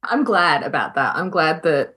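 A woman's voice: low-pitched, animated, normal speed, bright, forceful tone. A woman co-hosting a podcast in casual conversation. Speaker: podcast host. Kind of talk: casual chat.

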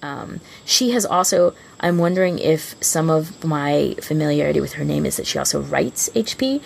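A woman's voice: deep voice, normal speed, gravelly, slight background noise. A woman co-hosting a podcast in casual, unscripted chat. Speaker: podcast co-host.

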